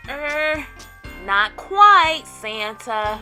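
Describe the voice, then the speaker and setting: Little old male elf, played by a woman: anxious storybook helper, bright, slow and faltering. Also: high voice, expressive, faint music behind, heavily acted. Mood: worried.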